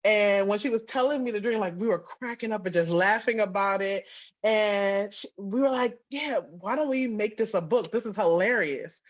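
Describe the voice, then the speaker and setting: A woman's voice: medium pitch, normal speed, highs cut off. A woman giving casual, candid interview answers as a guest author, chatty and emphatic. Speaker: guest, author and audio drama writer-producer.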